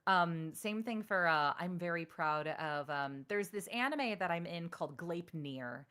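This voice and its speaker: Bright-voiced voice actress talking shop. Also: female voice, measured pace, clean tone. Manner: animated, acts out quoted people in higher voice; frank, advice-giving. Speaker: voice actress guest. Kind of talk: casual interview chat.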